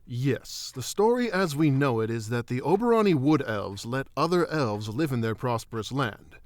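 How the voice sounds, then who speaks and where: Man playing a measured druid royal father. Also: mid-range voice, expressive, no echo, clean studio sound, lightly acted. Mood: grave, explanatory. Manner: formal, measured phrasing. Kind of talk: fantasy audio drama acting.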